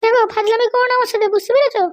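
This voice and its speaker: Cartoon comedy role: imperious jailed madam, extremely high voice, rapid-fire scolding and threats. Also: female voice, steady tone. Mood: angry, complaining. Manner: bossy, scolding. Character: arrogant, threatening jailed madam demanding luxuries and release. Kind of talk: amateur cartoon comedy dubbing.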